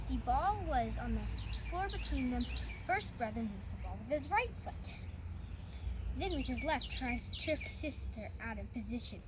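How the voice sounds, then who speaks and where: A woman reading a children's picture book aloud, a little haltingly, with simple childlike side comments. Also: high voice. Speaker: storybook reader. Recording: noisy amateur home recording.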